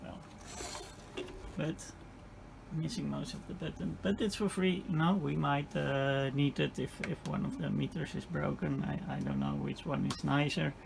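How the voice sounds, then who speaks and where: A man who is not a native English speaker gives casual hands-on repair commentary as host, halting and thinking aloud in a gravelly voice. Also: a little high. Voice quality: gravelly, creaky. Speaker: host of a radio restoration channel. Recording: clearly noisy home-made recording.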